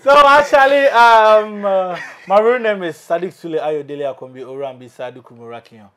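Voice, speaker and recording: A man's voice: high voice, lively intonation, a little fast, sharp tone. A young man from Ghana with a Ghanaian accent, a guest in a studio chat, boastful and teasing. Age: young adult. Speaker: musician guest. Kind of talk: casual interview chat. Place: small recording studio indoors.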